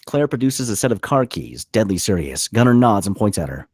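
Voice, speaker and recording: A man's voice: fairly low pitch, big pitch swings, a little fast, a little mellow, slightly rough, dry recording, clean studio sound. A man reading the stage directions as scripted narration at a screenplay table read.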